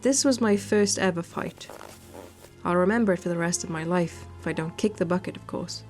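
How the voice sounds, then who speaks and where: A woman's voice: lowish voice, a little fast, a little mellow. A woman hosting a visual novel playthrough, narrating the text and adding casual commentary. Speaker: gaming video host. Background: game music.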